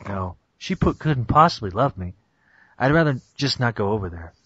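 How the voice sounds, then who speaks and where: A man's voice: mid-range voice, big pitch swings, normal speed, fairly bright tone, breathy edge, dry recording, pitched below the performer's own voice. Man playing a melodramatic self-pitying young superhero. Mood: brooding. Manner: melodramatic inner monologue. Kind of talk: amateur cartoon script reading. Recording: lo-fi internet voice call.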